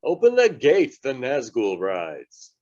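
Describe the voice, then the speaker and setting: A man's voice: medium pitch, huge pitch swings, slow, darkish tone. Slightly dull man playing a grave commanding wizard. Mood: urgent. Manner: declaiming, stately. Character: commanding old male wizard, grave and urgent. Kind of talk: table read of a fantasy film script.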